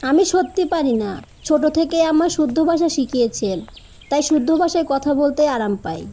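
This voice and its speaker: Woman playing an earnest, high-voiced teenage girl. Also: lively intonation, moderate pace, mellow. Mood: plain, earnest. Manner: polite, careful standard speech. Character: earnest, troubled teenage village girl in a cartoon.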